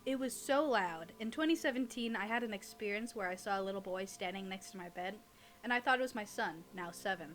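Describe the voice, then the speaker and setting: A woman's voice: mid-range voice, fairly quick, a bit bright, faint music behind. A young woman in casual conversation as a podcast co-host, informal and teasing, reading creepy stories aloud. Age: young adult.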